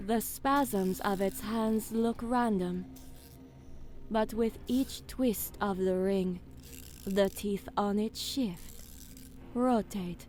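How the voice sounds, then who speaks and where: A woman performs a solemn alien commander from a science fiction audio drama. She speaks slowly and with weight, measured and dramatic, in a mid-range voice with music behind it. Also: medium pitch, darkish tone. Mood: grave. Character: alien woman commander, grave narrator, fierce when giving orders. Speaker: narrator and lead character. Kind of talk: dramatic first-person audio drama narration.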